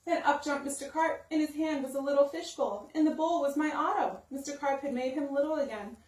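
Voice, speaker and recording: Woman, story-time reader, high voice, emphatic children's narration, roomy, off the mic. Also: brisk, darkish tone. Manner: simple, emphatic phrasing for young listeners. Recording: roomy sound, distant microphone.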